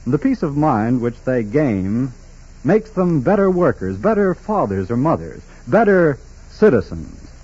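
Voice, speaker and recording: A man announcing a scripted 1940s radio sponsor message in a formal, emphatic, oratorical manner, with a husky voice. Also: mid-range voice, very animated, unhurried, darkish tone. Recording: muffled 1940s radio recording.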